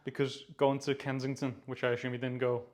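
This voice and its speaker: Flat-voiced Scouse podcast host. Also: male voice, medium pitch, fairly quick. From Liverpool, England. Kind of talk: casual podcast chat.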